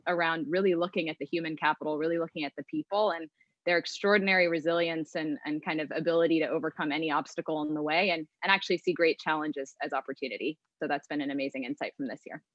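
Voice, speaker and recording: A woman's voice: deep voice, fairly even intonation, fast talker, a bit bright, no room sound, muffled recording. A woman speaking as an investor on a panel, explaining her points thoughtfully in a conversational way. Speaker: venture capital investor, panel guest.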